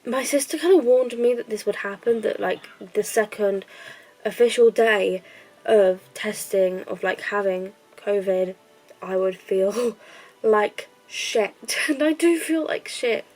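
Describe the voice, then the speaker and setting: A woman's voice: mid-range voice, takes it slowly, a little mellow, rough recording. Stuffy-nosed woman vlogging at home. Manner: rambling, filler-heavy, candid. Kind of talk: casual chatty diary vlog.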